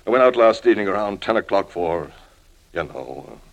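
An older man acting in a 1950s radio drama as a washed-up alcoholic crime reporter, self-pitying and wheedling, with halting, pleading speech. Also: low voice, expressive, gravelly. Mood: sheepish, pleading.

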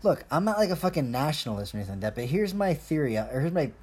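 Husky man ranting casually. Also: fairly high pitch, very animated, very fast, a little mellow. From Colombia. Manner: profane, jokey, self-deprecating ranting. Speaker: podcast host. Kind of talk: casual rambling monologue.

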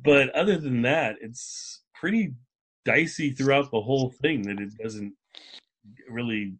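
A man's voice: medium pitch, a little slow, a bit bright, husky, poor audio. A man hosting a podcast in casual chat, talking in an informal, joking way. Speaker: podcast host.

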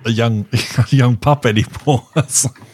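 A man's voice: mid-range voice, steady tone, measured pace, darkish tone, a little hiss or hum. A man hosting a podcast in casual chat, chipping in with short, jokey remarks. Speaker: podcast host.

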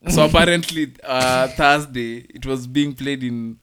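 A man's voice: medium pitch, noisy background. A man from Kenya co-hosting a casual podcast chat, stumbling over words and laughing easily. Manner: halting, many restarts, laughs easily. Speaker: podcast co-host.

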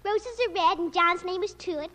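A woman's voice: very high-pitched, normal speed, a bit bright. A female performer playing a mischievous, fibbing seven-year-old girl in 1940s radio style, boastful and theatrical. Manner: boastful, theatrical, matter-of-fact about mayhem. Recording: dull old radio recording.

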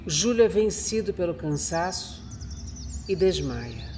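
A man narrating a scripted horror radio drama in a measured, dramatic manner. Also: high voice, sing-song, darkish tone, a little hiss or hum. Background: music.

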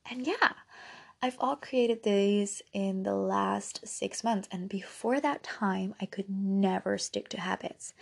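A woman's voice: mid-range voice, creaky, breathy edge. A young woman who grew up speaking Dutch, chatting casually and rambling on her own podcast. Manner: chatty, rambling, giggly asides. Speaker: podcast host. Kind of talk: casual solo podcast chat.

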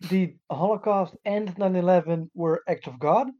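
A man's voice: high-pitched, normal speed, dark tone, slight background noise. A man hosting a casual podcast and asking questions, profane and sardonic, recorded with a dull, lo-fi sound. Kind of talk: casual banter.

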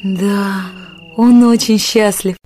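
An actress playing a young, playful, tender sweetheart in a radio play, with a light, affectionate manner. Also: female voice, medium pitch, fairly even intonation, slow pace, a little mellow, faint music behind, lightly acted. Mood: dreamy.

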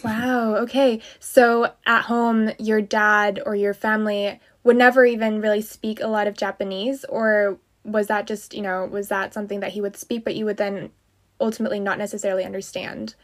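A woman's voice: medium pitch, flat delivery, moderate pace. A woman hosting an interview podcast, speaking in an enthusiastic, effusive and chatty way. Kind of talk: warm, chatty interview with scripted intro.

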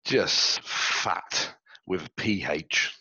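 A man's voice: sits low, extremely slow, vocal fry, pushed and bright, husky, no room sound, poor audio. Stuffy, laid-back guy. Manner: laid-back, slangy, rambling. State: stuffy, under the weather. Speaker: podcast co-host. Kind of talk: casual chat. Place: reclined in a chair at home. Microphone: headset.

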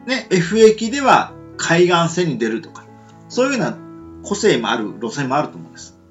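A man's voice: on the high side, big pitch swings, normal speed, highs cut off, soft background music. A man co-hosting a casual radio chat, rambling with many fillers. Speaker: radio show co-host.

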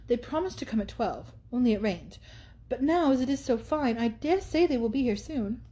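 A woman's voice: on the high side, animated, normal speed, warm tone, pitched above the performer's own voice, lightly acted. A woman reading aloud and voicing an eager, hesitant young heroine who asks earnest, anxious questions.

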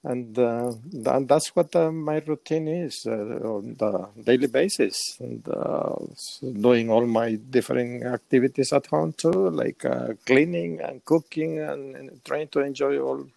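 A man of sixty-five from El Salvador with a Salvadoran Spanish accent, giving casual, halting answers as an interview guest. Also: mid-range voice, creaky, dark tone, no room sound. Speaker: interview guest, semi-retired.

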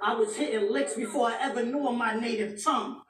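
Woman battle rapper, high and shouty, caught echoey and off-mic at a live venue. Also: fairly even intonation, fairly quick, recorded from a distance, crowd noise. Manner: aggressive, shouting taunts, repeating lines. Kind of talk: battle rap performance.

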